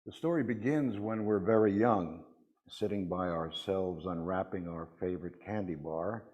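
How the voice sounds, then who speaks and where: A man delivering a prepared, sermon-like reflection at a worship service in deliberate, rhetorical phrases with pauses. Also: deep voice, lo-fi sound.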